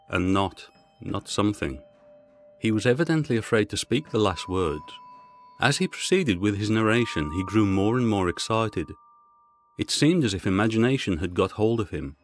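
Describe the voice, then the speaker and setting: A man reading a gothic horror story aloud as a dramatic audiobook narrator. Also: fairly low pitch.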